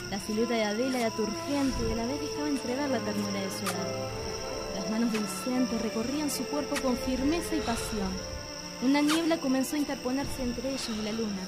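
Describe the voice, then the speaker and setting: A woman's voice: a little high, low-quality recording. Suspenseful Argentine woman narrator. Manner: measured, suspenseful storytelling. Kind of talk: scripted radio drama narration. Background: music.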